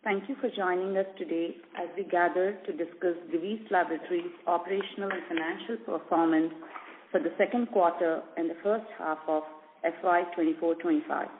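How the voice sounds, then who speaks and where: A woman's voice: lowish voice, steady tone, brisk, slight background noise. A woman gives a formal, scripted business presentation as a company executive. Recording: tinny, narrow phone line.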